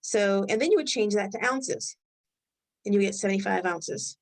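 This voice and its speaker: A woman with a Rhode Island accent leading a live health webinar in a loose, conversational way, thinking aloud as she goes. Her pitch sits in a middling range for a woman. The sound is that of a computer microphone on a video call. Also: moderate pace. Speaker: webinar host.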